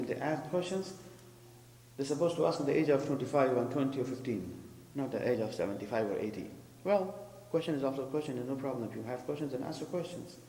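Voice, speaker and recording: A man's voice: mid-range voice, mellow. A man giving a sermon and commentary who explains terms and questions the congregation. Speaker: preacher. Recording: echoey hall with faint hum.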